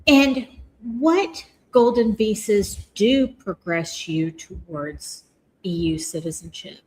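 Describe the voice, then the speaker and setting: A woman's voice: medium pitch, slow pace, a little mellow, husky, low-quality recording. A woman hosting an interview, asking casual, friendly questions. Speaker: podcast host.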